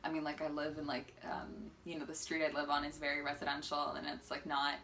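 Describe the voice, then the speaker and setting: Young woman from dc, low voice, talks quick, lots of "like"s. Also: completely flat delivery, creaky, fairly bright tone, a little hiss or hum. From Washington, D.C. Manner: chatty, with many filler "like"s. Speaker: interviewee. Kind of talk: casual interview answers.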